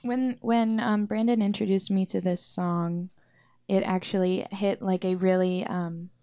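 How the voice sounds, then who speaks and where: A woman's voice: medium pitch, steady tone, a little slow, mellow, no room sound, studio quality. A woman speaking casually as a band member in an interview.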